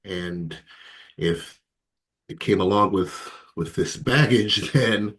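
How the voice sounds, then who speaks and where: A man in his thirties from Ghana speaks in a very low voice, sharing his life story as an interview guest. He is reflective and halting, with fillers and soft laughs. The delivery is candid and unhurried. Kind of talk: candid personal testimony.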